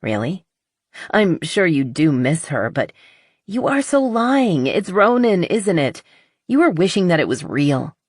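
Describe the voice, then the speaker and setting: Woman playing a blunt, husky, skeptical best friend. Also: lowish voice, sing-song, unhurried, no room sound, pitched above the performer's own voice, heavily acted. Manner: blunt, dry, teasing. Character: blunt, brutally honest, skeptical, loyal female best friend.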